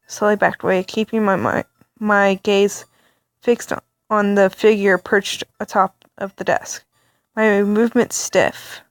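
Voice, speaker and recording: Woman reading a story game out loud, reacts a lot, stammers, dry close mic. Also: medium pitch, no echo. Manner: animated, reactive, stammering asides. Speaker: let's play reader.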